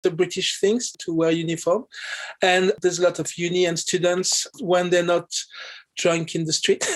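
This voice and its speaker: A man's voice: high voice, steady tone, slow pace, long pauses, fairly bright tone. A man from Paris with a French accent, chatting casually as an interview guest in a hesitant, giggly way. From Paris, France.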